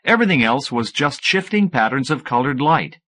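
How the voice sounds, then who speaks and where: Bright-voiced, animated male audiobook narrator. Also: mid-range voice, normal speed. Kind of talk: expressive scripted first-person fiction narration.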